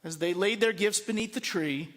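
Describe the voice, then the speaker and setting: A priest, a man, reading a story aloud with expressive, deliberate storybook narration. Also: high-pitched. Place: large church at a Mass. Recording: long reverberant hall.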